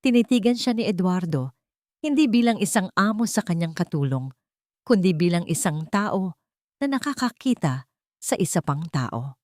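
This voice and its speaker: A woman's voice: lowish voice, expressive, normal speed, darkish tone, no room sound, clean studio sound. A woman with a Filipino accent narrating a dramatic story from a script. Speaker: audiobook narrator.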